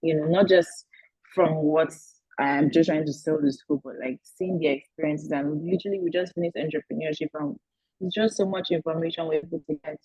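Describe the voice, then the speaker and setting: A young woman student answering questions on a video call, chatty and lively, full of "like" and "you know". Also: low voice, brisk, breathy edge, dry recording. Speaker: current MBA student panelist. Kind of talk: casual spoken answer. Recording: dull lo-fi video-call audio.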